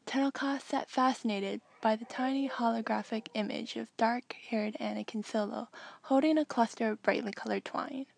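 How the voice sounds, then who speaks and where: A woman's voice: a little high, vocal fry, no room sound. A woman reading a story aloud as an amateur audiobook narrator.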